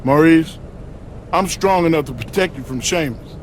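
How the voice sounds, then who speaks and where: A man's voice: a little high, moderate pace, a little hiss or hum, lightly acted. An actor playing a reluctant, good-natured strongman wrestler in a video game, plain-spoken and folksy. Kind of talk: scripted video game cutscene acting.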